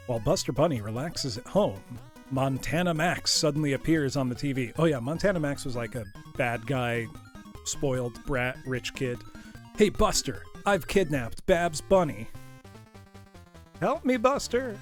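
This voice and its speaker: Man, congested, tired voice, casual live-stream chat, jokey, faint game music behind. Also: mid-range voice. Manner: chatty, jokey, calls viewers "class". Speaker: streamer playing retro games.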